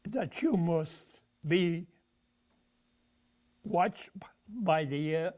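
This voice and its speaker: High-voiced man in his nineties. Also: a little mellow. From Croatia. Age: 90s. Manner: halting, with many pauses and fillers. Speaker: veteran being interviewed. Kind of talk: oral history interview.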